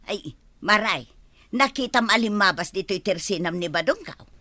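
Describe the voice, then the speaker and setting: A woman's voice: medium pitch, expressive, moderate pace, sharp tone, no room sound. A woman with an Ilocano accent playing a bossy farmer's wife who keeps watch on her husband in an audio drama. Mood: puzzled.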